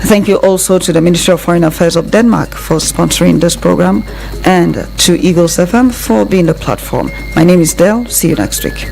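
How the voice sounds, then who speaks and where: A woman's voice: on the low side, expressive, brisk, vocal fry, music in the background, low-quality recording. A woman with a Nigerian accent hosting a radio programme in a conversational live presenting style.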